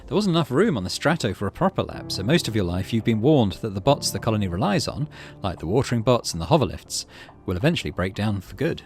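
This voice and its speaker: Man musing through a game, low voice. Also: sing-song, quick pace, hardly any pauses. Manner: muses aloud, thinking through choices. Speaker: gaming video host. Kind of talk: casual let's play commentary with narrated game text.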